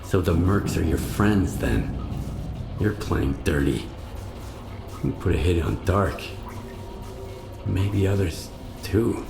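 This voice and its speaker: An actor voicing a hardened stalker in a game cutscene, speaking in a blunt, wary, questioning way. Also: male voice, low voice, expressive, slow, creaky, long pauses, heavily acted. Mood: accusing. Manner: blunt, questioning, plain-spoken. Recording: roomy game cutscene with music.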